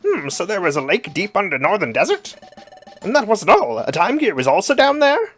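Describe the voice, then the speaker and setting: Player putting on a high, fussy bird creature. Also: wildly swooping pitch, normal speed, a bit bright, faint music behind. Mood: astonished. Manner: flustered, gushing, exclamatory.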